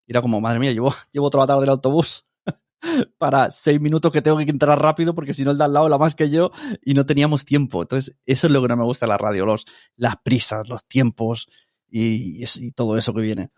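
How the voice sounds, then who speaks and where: A man's voice: medium pitch, fairly even intonation, fairly quick, darkish tone, no echo, clean studio sound. A man with a Spain Spanish accent talking casually as an interview guest. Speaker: podcaster guest.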